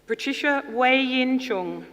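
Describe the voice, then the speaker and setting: A woman formally announcing graduates' names at a ceremony, in a measured, deliberate delivery. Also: high-pitched, lively intonation. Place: large indoor ceremony hall. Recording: reverberant hall, audience noise, clear background noise. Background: audience crowd noise.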